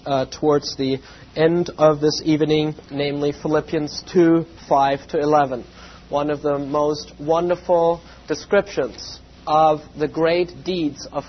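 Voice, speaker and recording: Slightly raspy man teaching Bible class. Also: fairly high pitch. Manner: measured, frequent "uh" fillers, reverent in prayer. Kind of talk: evening Bible lecture with prayer. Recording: dull lo-fi with faint hum.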